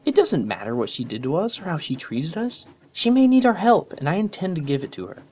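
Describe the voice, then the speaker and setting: A man's voice: medium pitch, expressive, a little fast, voice pushed up, natural voice. A man voicing an earnest, principled young female unicorn pony who lectures her friend. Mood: indignant.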